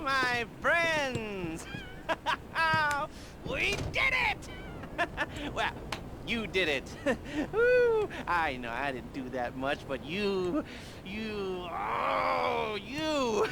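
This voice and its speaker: A nerdy, neurotic heist planner from a crime video game. His voice sits high for a man and swings wildly up and down. He rambles in a halting, sardonic way, over loud background noise. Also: wildly swooping pitch, creaky, exaggerated voice. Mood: ecstatic, giddy. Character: nerdy, neurotic, excitable criminal heist mastermind with a cane. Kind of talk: video game cutscene and mission dialogue acting.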